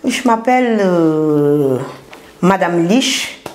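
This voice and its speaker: Low, slow-spoken Cameroonian woman. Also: animated, darkish tone, slight background noise. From southern Cameroon. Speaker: massage therapist and business owner, interview guest. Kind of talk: unscripted explanation in an interview.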